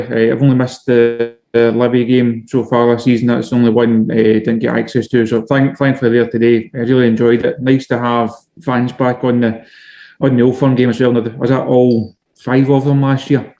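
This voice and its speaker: Flat-toned Scottish man, a guest. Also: mid-range voice. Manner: measured, steady, with frequent restarts. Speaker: journalist and author guest. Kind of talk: casual podcast interview.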